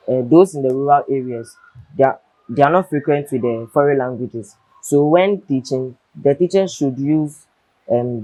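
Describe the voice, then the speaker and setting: A man's voice: mid-range voice, warm tone, no echo, poor audio. A young man student, answering questions hesitantly with many ums and a polite "yes, please". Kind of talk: answering in a discussion.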